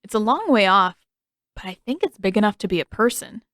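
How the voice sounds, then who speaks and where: A woman's voice: mid-range voice, very animated, fairly quick, a bit bright, no echo, clean studio sound. A woman voicing an eager, good-natured young boy in a story reading, earnest and a little defensive. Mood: concentrating. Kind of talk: character voice in a fan fiction reading.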